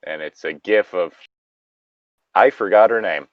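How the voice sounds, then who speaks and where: A man's voice: sits low, measured pace, no echo, phone-quality sound. A man co-hosting a story reading, switching between narration and casual, joking asides. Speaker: co-host reading stories aloud.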